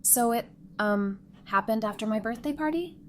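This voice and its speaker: A woman's voice: fairly high pitch, moderate pace, a little hiss or hum, lightly acted. A woman playing an upbeat, teasing expectant mother and loyal best friend in an audio drama. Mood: hesitant.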